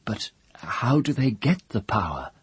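A man's voice: lowish voice, sing-song, measured pace, husky, no echo, pitched above the performer's own voice, heavily acted. A man voicing a curious, persistent girl in a story, full of questions. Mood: eager curiosity. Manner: inquisitive.

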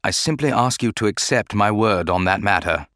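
A man voicing a composed, guarded Jedi master in a dramatic audiobook, speaking in a measured, formal manner. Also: on the low side, expressive, fairly bright tone, no echo, clean studio sound. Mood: grave, composed. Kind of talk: dramatic audiobook character acting.

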